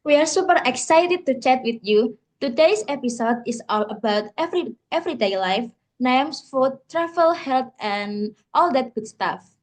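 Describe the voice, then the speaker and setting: Young woman co-host with a fairly high voice. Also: expressive, moderate pace. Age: young adult. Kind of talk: casual podcast hosting.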